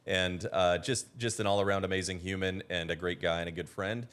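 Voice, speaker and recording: A man's voice: low voice, steady tone, a little fast, a bit bright, smooth. An American man hosting a conversational interview show, introducing and questioning a guest. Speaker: show host.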